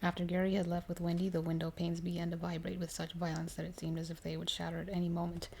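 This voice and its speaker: Mellow, low-pitched woman reading softly. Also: monotone, fast, vocal fry, a little hiss or hum. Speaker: ASMR storyteller reading aloud. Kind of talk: ASMR reading of a horror story.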